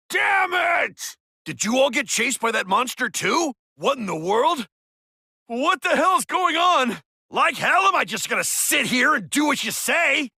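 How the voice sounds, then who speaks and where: A man's voice: very high-pitched, no echo, clean studio sound, exaggerated voice. A male actor playing a hot-blooded, stubbornly loyal young man in a dramatic, brash video game dub. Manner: forceful, emotional, brash.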